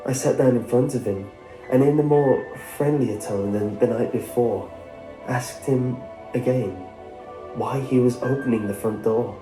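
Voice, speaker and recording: A man reading a scripted first-person horror story in a measured storytelling way. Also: mid-range voice. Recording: roomy sound with music behind. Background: background music.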